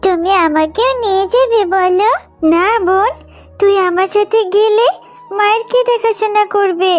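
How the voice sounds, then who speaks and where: A woman's voice: extremely high pitch, moderate pace, faint music behind. A woman voicing a dutiful little fox girl in a children's cartoon, earnest and childlike.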